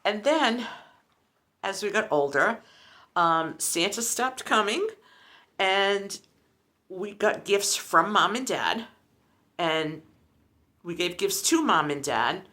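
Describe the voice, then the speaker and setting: A woman's voice: mid-range voice, expressive, takes it slowly, pauses often, pushed and bright. A woman in her sixties, a lifestyle vlogger, chatting in a rambling, storytelling way. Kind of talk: casual chat.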